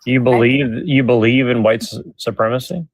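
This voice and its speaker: A man's voice: medium pitch, moderate pace, dry recording, poor audio. A man calling into a live stream, questioning earnestly and hesitantly, often repeating his words. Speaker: caller. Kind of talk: earnest argument on a live call.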